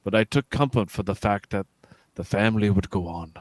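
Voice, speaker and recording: Dignified ageing captain, sci-fi radio play, male actor. Low, formal, reflective, dry close recording. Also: brisk, dry recording, lightly acted. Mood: melancholy. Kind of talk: live script read, sci-fi radio theatre.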